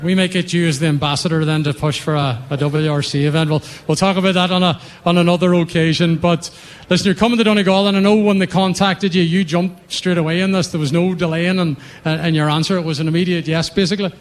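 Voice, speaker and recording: A man hosting live stage interviews at a rally event, brisk and chatty. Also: on the high side, steady tone. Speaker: event host.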